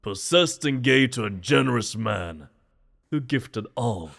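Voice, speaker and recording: Man acting stately, gravelly fantasy king. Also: mid-range voice, lively intonation, takes it slowly, a bit bright, heavily acted. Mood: regal, insistent. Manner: formal, regal, ceremonious. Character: grateful, stately male king of a fantasy realm. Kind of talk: fantasy audio drama acting. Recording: long hall reverb.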